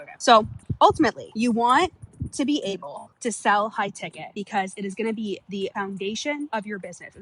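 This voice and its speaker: A woman's voice: mid-range voice, slightly rough, rough recording. A woman hosting her own podcast, talking bluntly and with lots of swearing, sounding stuffed up from a cold as she walks outdoors. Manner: blunt, profane, fast and energetic. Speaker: podcast host and business coach. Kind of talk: casual solo podcast monologue. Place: outdoors on an evening walk.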